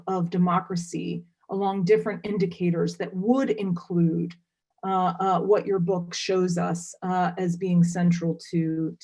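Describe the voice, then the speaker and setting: A woman scholar giving academic commentary on a panel, earnest and searching, with frequent hesitant pauses. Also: on the low side, darkish tone.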